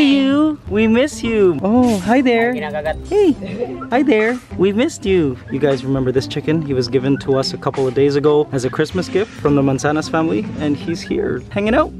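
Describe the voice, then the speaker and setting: A man in his thirties vlogging on a farm, excitable and chatty, cooing at a chicken in baby talk from a handheld camera. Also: sits high. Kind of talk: casual vlog. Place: outdoors on a farm.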